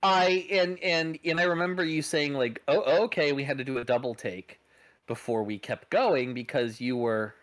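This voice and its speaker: A man's voice: fairly high pitch, normal speed. A man chatting casually as co-host, blunt and joking, often restarting his sentences and swearing. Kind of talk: casual chat.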